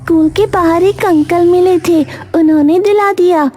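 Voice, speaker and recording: A woman's voice: very high voice, fairly even intonation, normal speed, mellow. A woman playing an innocent, eager little schoolgirl in a cartoon story, with a naive, childlike manner. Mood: innocent explaining.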